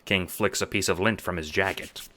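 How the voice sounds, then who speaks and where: A man narrating a second-person audio drama in a dramatic, deliberate way. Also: deep voice, fairly even intonation, pushed and bright. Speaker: narrator and producer.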